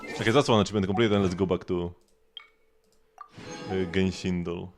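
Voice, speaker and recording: A man casually commentating on a game livestream, thinking aloud haltingly and repeating words as he goes. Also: sits low, fairly even intonation, faint music behind. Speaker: live streamer.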